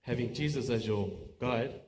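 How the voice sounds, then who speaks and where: A man's voice: medium pitch, moderate pace, a little mellow. A man speaks informally to a church congregation, giving a testimony in a conversational but emphatic way, repeating key phrases. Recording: roomy church echo, faint background noise.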